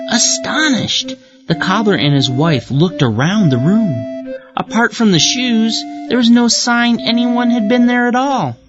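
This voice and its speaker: A narrator reading a children's story aloud in an expressive, deliberate storytelling way for young learners. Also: mid-range voice. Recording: muffled lo-fi audio with music behind. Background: music.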